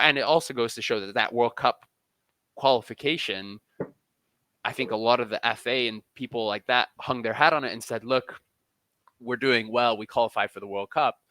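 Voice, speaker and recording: A man's voice: mid-range voice, normal speed, pushed and bright, dry recording. A man speaking as a podcast interview guest, talking emphatically and often repeating or restarting his phrases mid-thought. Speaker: interview guest, football journalist.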